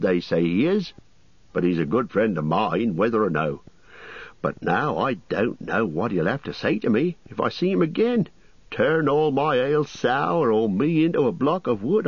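A man voicing a fussy, apologetic middle-aged innkeeper who chatters and rambles, with a husky voice. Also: mid-range voice, no room sound, highs cut off, voice pushed up.

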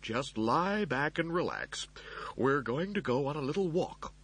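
A high, fussy, husky android servant. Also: male voice, expressive, unhurried, fairly bright tone, heavily acted. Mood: falsely soothing. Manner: overly soothing, euphemistic reassurance. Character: soothing, fussy male android servant hiding panic. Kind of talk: audiobook character voice.

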